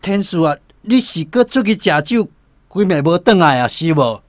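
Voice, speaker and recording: A man's voice: sits high, very animated, moderate pace, dry recording. An actor with a Taiwanese accent playing an exasperated father of nearly sixty, scolding and indignant, in a radio drama.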